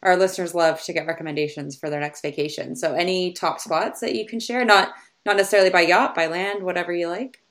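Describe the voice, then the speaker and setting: A Canadian woman with a fairly low voice hosts a podcast interview. She is friendly and conversational, and her questions wander before they land. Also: fairly even intonation, fast talker, few pauses. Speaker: podcast host.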